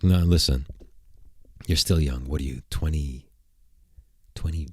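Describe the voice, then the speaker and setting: Very deep-voiced man streaming, crude jokes. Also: measured pace, husky, no room sound. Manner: crude, provocative joking, rambling. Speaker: streamer and channel host. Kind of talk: casual livestream chat. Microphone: desk mic at a computer.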